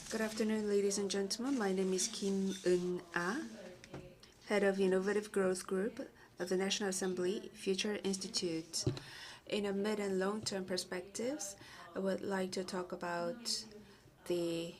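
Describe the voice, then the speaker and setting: A woman giving a simultaneous interpretation of a conference presentation, measured and with small self-corrections. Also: medium pitch.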